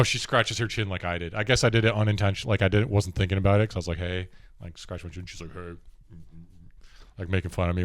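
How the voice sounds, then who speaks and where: A young man with a deep voice, a podcast host telling a rambling story in casual chat and laughing often. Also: clean tone, dry recording, studio quality.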